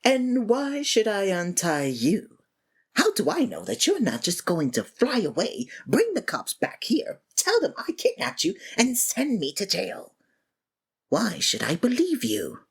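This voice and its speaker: A woman performing a scripted villain roleplay, breathy and theatrical, exasperated and swinging abruptly between registers. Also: mid-range voice, huge pitch swings, a little slow. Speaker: ASMR voice actor playing a villain.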